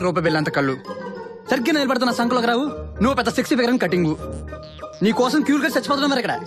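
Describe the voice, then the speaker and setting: Cocky, lively young husband. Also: male voice, high-pitched. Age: young adult. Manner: teasing, sarcastic, code-mixing English phrases. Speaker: film actor. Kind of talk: romantic comedy film dialogue. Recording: film soundtrack with clear background music.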